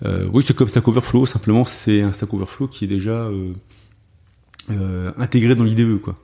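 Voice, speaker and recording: Guest dev, male, low mellow voice with fry, hesitant delivery full of fillers. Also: steady tone, normal speed. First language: French. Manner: hesitant, many fillers and restarts. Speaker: guest, software developer. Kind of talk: casual conversational interview.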